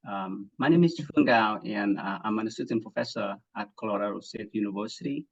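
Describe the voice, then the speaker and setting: A man from Vietnam giving an academic webinar talk, halting with many fillers and restarts and frequent throat clearing. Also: on the low side, lo-fi sound. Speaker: guest speaker, assistant professor.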